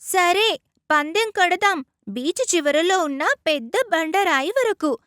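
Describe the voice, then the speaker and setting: Storybook snail, played by a woman: steady, unflustered, high voice, slow delivery. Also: no room sound, clean studio sound, full character voice. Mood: agreeable. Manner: measured, unflustered. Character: calm, slow, determined little snail.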